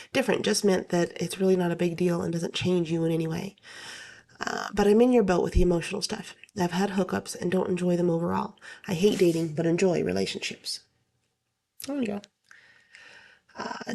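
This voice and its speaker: A woman hosts a casual story-reading session in a lowish, slightly hoarse voice. There is plenty of fry in it. She moves between reading aloud and offhand commentary in an informal way. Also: warm tone. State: slightly hoarse, getting over illness. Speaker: host reading online stories aloud.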